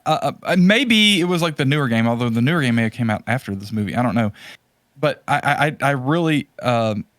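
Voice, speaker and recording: A man's voice: mid-range voice, animated, brisk, fairly bright tone, no echo. Rambling man in dry, close-sounding podcast chat. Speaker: podcast host. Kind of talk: casual chat about retro games and movies.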